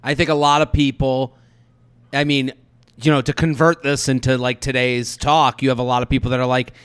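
A man in his thirties hosting a podcast, chatting casually with lots of fillers and animated asides. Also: medium pitch, a little fast, clean tone. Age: 30s. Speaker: podcast host.

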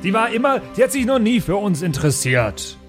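A man voices a sulky son in a fantasy role-playing audio play. The voice is pushed high and whines indignantly. Light music sits underneath. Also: big pitch swings, a little fast. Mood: sulky complaint.

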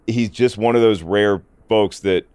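A man's voice: fairly low pitch, measured pace, darkish tone, smooth, dry recording. A man co-hosting a movie podcast, enthusiastic and opinionated in casual chat. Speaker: podcast co-host, movie critic.

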